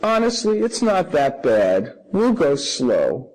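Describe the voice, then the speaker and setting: A man's voice: a little high, huge pitch swings, slow pace, darkish tone, poor audio. A man playing a formal interviewer and asking set questions in a scripted practice dialogue. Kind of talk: scripted role-play questions.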